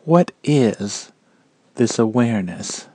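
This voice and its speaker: A man guiding a meditation in a calm, measured and gentle manner, leaving long pauses between phrases. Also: mid-range voice, slightly rough, no echo. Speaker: meditation guide. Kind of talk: guided meditation narration.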